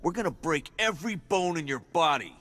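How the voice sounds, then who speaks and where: A sadistic militia thug in a video game, with a bright, forceful, fairly high male voice. He taunts and gloats menacingly, his pitch swinging freely. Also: expressive, brisk. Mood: gloating menace.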